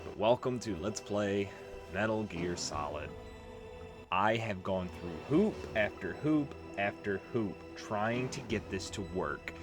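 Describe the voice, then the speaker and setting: A man with a slightly low voice talks through a video game as its host. He is chatty and informal, full of conversational asides. Music is clearly audible behind him. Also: animated, slow. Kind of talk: casual let's play commentary.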